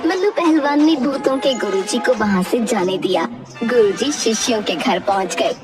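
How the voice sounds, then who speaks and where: Lively, high-pitched woman storyteller. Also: moderate pace, a crowd in the background. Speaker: story narrator. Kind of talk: scripted cartoon storytelling. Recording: distant voice with music underneath.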